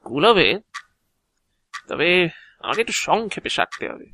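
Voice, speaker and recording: A man's voice: on the high side, sharp tone, no echo, heavily acted. An actor playing an ancient, talkative agent of Death in an audio drama, explaining his work proudly in a theatrical, officious yet chatty way. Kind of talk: Bengali audio drama acting.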